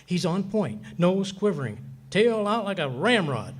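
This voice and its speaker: A man's voice: sits high, unhurried, husky, full character voice. A man playing a bumbling, boastful middle-aged husband who spins folksy tall tales in 1940s radio comedy style. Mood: boastful storytelling. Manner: folksy, blustering, excitable tall-tale telling. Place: live staged radio play at a hotel venue.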